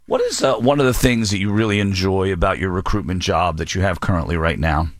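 A man's voice: sits low, a little fast, no echo, studio quality. A man hosting a radio interview, asking polite, prompting questions. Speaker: radio host.

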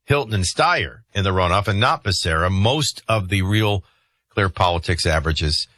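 A man's voice: sits low, lively intonation, moderate pace, bright, forceful tone, no echo. A man hosting a morning radio talk show, speaking in a conversational news commentary style.

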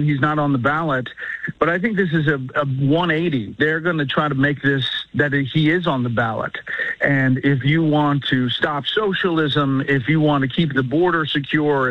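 Man, measured analyst, lots of ums, dry muffled radio sound. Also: on the high side, no room sound. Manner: measured, thinking aloud with many fillers. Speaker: guest news anchor. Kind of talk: political analysis on talk radio.

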